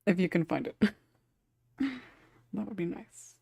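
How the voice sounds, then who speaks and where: A woman's voice: on the low side, animated, takes it slowly, vocal fry, darkish tone, breathy edge, dry recording. A woman hosting a casual panel chat, giggly and conversational, on a close microphone. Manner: giggly, laughs often while talking. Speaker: podcast host.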